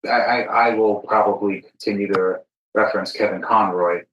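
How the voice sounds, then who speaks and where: Low-voiced male podcast host in an echoey room. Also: fairly even intonation, moderate pace, fairly bright tone, dull audio, a little hiss or hum. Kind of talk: conversational podcast interview.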